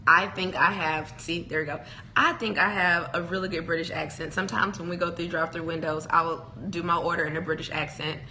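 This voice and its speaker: A woman's voice: on the low side, fairly even intonation, brisk, sharp tone, a little hiss or hum. A young woman co-hosting a casual couple's chat show, rambling and playful with frequent giggles. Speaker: podcast co-host.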